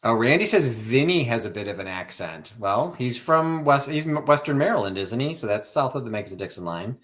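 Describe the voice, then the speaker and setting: A man from the Chicago suburbs with a Midwestern accent, co-hosting a live sale stream in relaxed, chatty talk. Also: medium pitch, brisk. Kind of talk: casual live-stream chat.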